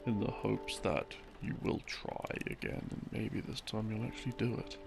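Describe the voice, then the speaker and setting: A man telling lore as a soft, rambling sleep-aid story, breathy and often sinking into a murmur, in halting short phrases. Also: on the low side, expressive, noisy background. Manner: halting, broken into short phrases with long pauses. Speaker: audio roleplay narrator, playing a boyfriend explaining game lore. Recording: music behind the voice. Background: soft background music.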